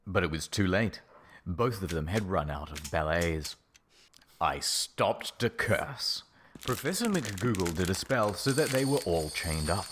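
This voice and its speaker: A man's voice: low voice, expressive, measured pace, fairly bright tone, slight background noise. A man narrating a comic story in a mock-serious, theatrical way. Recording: music behind the voice. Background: music.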